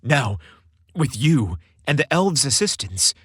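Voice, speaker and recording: A man voicing a brainy young boyfriend in a romantic audio story, wry, excitable and bantering. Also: mid-range voice, slow, husky, no room sound, studio quality, full character voice. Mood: excited.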